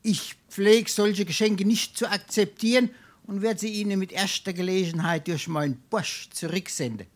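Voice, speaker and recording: A man's voice: sits high, normal speed, close to an everyday voice. A man playing a wily, shameless scrounger in a Darmstadt dialect stage comedy, pompous and full of flowery courtesy. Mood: wounded dignity. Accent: Darmstadt Hessian. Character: wily, shameless male scrounger and bon vivant.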